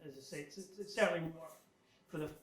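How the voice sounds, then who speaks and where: A man's voice: high voice, fast talker, a bit bright. Echoey, distant man giving an informal talk. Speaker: guest speaker giving a technical club talk. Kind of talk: informal technical presentation with question answering. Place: club meeting room, live talk. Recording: echoey room, distant microphone.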